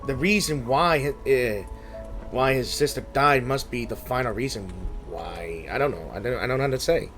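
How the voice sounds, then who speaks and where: A man hosting a gaming video with casual, spontaneous reaction commentary. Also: mid-range voice. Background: faint game music.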